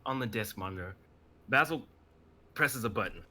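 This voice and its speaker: A man's voice: fairly low pitch, lively intonation, moderate pace, bright, forceful tone. A man narrating stage directions in a live dramatic reading of a script.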